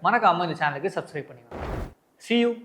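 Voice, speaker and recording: A man's voice: high voice, lively intonation, fairly quick, very noisy. A man with an Indian Tamil accent presenting an unboxing and product review, explaining directly to viewers and mixing in English words.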